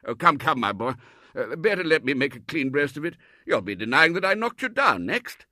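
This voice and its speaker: A man voicing a blustering elderly Colonel who gushes loudly and stammers at times in a storybook reading. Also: very animated, fairly quick, no room sound, studio quality, exaggerated voice. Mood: gushing. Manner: booming, gushing, stammering at times. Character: blustering elderly male Colonel, loud and effusive.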